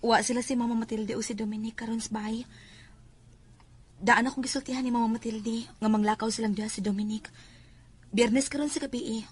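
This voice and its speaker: Woman acting very breathy, secretive young woman. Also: fairly high pitch, fairly even intonation, a little fast, long pauses. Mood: hushed, coaxing. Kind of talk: horror audio drama.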